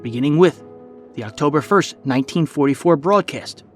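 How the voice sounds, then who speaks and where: A man's voice: fairly high pitch, brisk, faint music behind. A man reading scripted documentary narration as a podcast host.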